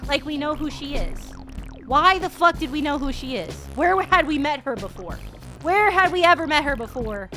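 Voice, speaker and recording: Female game streamer, rambling and sweary, bright forward voice, animated casual commentary over soft music. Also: high voice, moderate pace.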